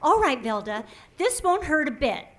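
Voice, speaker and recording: A woman's voice: high voice, very animated, normal speed, a bit bright. A woman voicing an earnest, naive young female assistant who is polite and fussy. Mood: worried. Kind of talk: comic radio play acting.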